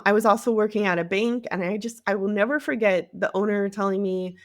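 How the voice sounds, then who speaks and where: A woman's voice: mid-range voice, brisk, no echo, studio quality. An American woman telling her own story as an interview guest, candid and conversational, often acting out what people said to her. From Cleveland area, United States. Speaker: podcast guest, marketing agency CEO.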